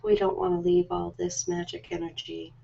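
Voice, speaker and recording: A woman playing a gentle, nurturing spa healer in an ASMR role play, soft-spoken, soothing and unhurried. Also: on the low side, sing-song, creaky, lo-fi sound, a little hiss or hum, heavily acted. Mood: calm, ritual.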